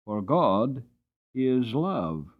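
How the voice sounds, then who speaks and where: A man's voice: mid-range voice, sing-song, very slow, phone-quality sound. A man narrating a story as a dramatic audiobook reader.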